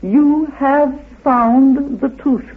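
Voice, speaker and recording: An older man giving a reflective interview as a researcher, speaking in an emphatic, deliberate way. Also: extremely high pitch, steady tone, narrow, tinny audio. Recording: band-limited old radio interview.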